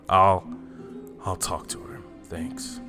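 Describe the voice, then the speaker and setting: A man's voice: low voice, flat delivery, extremely slow, over music. A man playing a stubborn, protective fighter father in a fan-made anime drama, guarded and reluctant. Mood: resigned.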